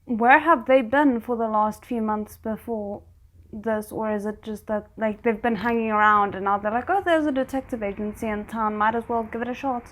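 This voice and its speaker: A woman hosting a reaction video, giving casual, animated commentary and reacting aloud as she watches a series. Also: a little high, normal speed, poor audio.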